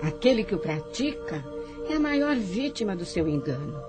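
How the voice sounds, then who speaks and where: A narrator of a radio serial speaking in a grave, measured, preachy way. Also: mid-range voice, big pitch swings, close to an everyday voice. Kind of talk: solemn scripted narration. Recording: music behind the voice. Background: music.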